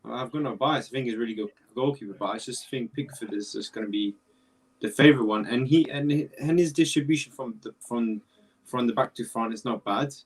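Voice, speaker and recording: A man's voice: medium pitch, brisk, slightly rough, recorded from a distance, low-quality recording. A young man around twenty, a guest in a casual football chat, rambling and repeating himself as he thinks. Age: young adult.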